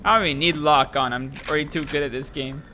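A man's voice: fairly high pitch, quick pace, background noise. A young man on a headset giving casual, jokey commentary while playing a shooter, talking back to the game. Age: young adult. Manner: excitable, jokey, talks to the game. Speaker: gaming streamer. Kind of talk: casual let's-play commentary. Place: small room at a desk.

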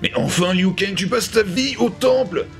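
A young man delivers mocking review commentary in a high voice. He is sarcastic, profane and exasperated, with music playing clearly behind him. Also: normal speed. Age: young adult. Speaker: host, comic reviewer. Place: living room at home.

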